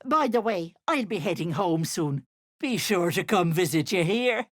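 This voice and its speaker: A woman's voice: lowish voice, lively intonation, unhurried, no room sound, clean studio sound. An actress voicing a kindly old Irish village woman who fusses and calls people love. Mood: cozy, motherly. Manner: folksy and fussing, calling people love. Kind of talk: video game voice acting.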